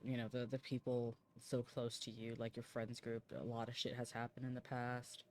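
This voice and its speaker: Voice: mid-range voice, monotone, moderate pace, a little hiss or hum. A game streamer giving casual reaction commentary in an informal, sweary way.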